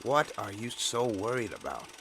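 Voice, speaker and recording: A high-ranking official, impatient and dismissive, in a radio drama. His voice is forceful and very animated in pitch, with a curt, condescending delivery. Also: normal speed, slight background noise.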